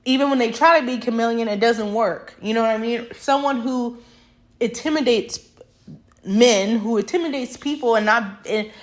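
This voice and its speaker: A woman's voice: fairly high pitch, moderate pace. A young woman talking straight to camera in a passionate, emphatic monologue that rambles with restarts. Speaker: vlogger.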